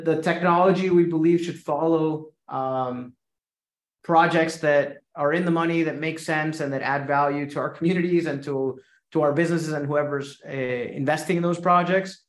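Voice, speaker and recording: A man's voice: a little high, echoey room. A man from Venezuela, a company head talking as a podcast guest, hesitant, with many ums and restarts. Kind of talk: podcast interview.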